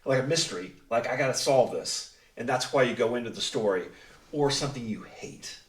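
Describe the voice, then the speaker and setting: A man's voice: mid-range voice, lively intonation, fairly quick. A man telling stories casually and conversationally as he hosts a panel, acting out quotes as he goes. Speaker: writer and director hosting a panel. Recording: roomy echo, distant mic.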